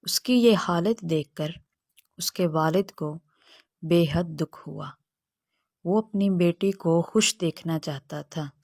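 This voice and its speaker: A woman narrates a scripted children's tale as the storyteller. Her pitch sits a little low for a woman. The recording is dry, with no audible room. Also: slow, darkish tone, no echo.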